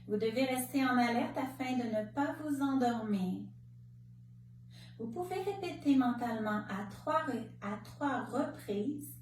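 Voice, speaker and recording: A woman leading a guided relaxation meditation as a yoga nidra instructor, speaking in calm, measured short phrases with pauses. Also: fairly high pitch. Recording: roomy, distant mic, faint background noise.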